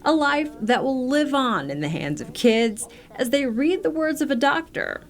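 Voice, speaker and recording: American woman reporter with lively pitch swings. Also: moderate pace. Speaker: news reporter. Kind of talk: scripted TV news narration.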